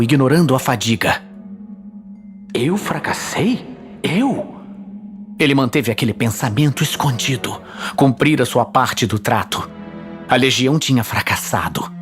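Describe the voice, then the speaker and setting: A male narrator with a Brazilian accent tells a dramatic fantasy story. His pitch sits in the middle for a man. Soft music plays faintly underneath the scripted reading. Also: animated, moderate pace.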